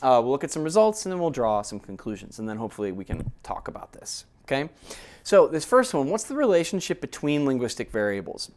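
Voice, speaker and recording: A man lecturing in a relaxed, conversational way, explaining ideas step by step and often checking in with his listeners. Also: mid-range voice, fast talker. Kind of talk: academic lecture.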